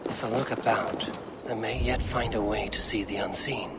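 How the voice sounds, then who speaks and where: An actor playing a gruff, brooding Norse warrior in a video game, speaking gravely in a breathy, gravelly murmur. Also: male voice, lowish voice, fairly even intonation, moderate pace, heavy background noise, lightly acted. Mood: brooding, hushed. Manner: grave, archaic phrasing. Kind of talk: video game character musing aloud.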